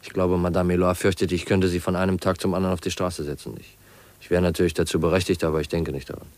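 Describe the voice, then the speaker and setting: A man's voice: low voice, little pitch movement, fast talker, a little mellow, no room sound, lightly acted. An actor playing an earnest, polite young man investigating a family death in a 1950s German radio drama, reflective and restrained. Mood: thoughtful, hesitant. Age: young adult.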